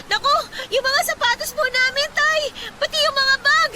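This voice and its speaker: Anxious whiny teen daughter, radio drama, super high voice, noisy background. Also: female voice, steady tone, normal speed. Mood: distressed, protesting. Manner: plaintive, whining complaints. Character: anxious, protesting teenage daughter in a flood. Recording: storm-like noise behind the voice.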